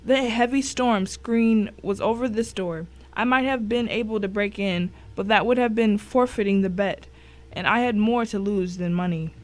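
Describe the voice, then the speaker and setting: A woman narrates a thriller in the first person. Her voice sits at a medium pitch, and she reads the scripted prose with drama and suspense. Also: normal speed. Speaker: story narrator.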